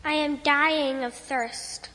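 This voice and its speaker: A woman's voice: high voice, steady tone, slow, pushed and bright, long reverb tail, voice pushed up, exaggerated voice. A woman voicing a frightened, thirsty young schoolgirl who speaks timidly and hesitantly.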